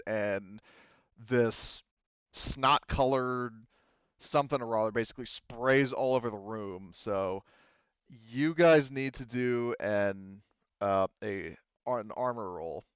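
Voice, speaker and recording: A man's voice: medium pitch, takes it slowly, smooth, no echo, highs cut off. A man running a tabletop roleplaying game as game master, explaining rules casually with lots of fillers.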